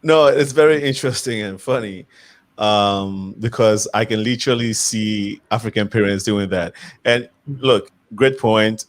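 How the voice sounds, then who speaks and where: Man, low voice, panel host, easy laugh, hesitant questions. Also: measured pace. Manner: laughs easily, short affirmations. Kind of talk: hosting a panel discussion.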